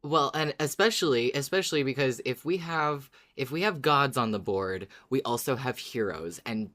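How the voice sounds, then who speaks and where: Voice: medium pitch, fairly bright tone. A podcast co-host in casual discussion, thoughtful and a little hesitant in phrasing.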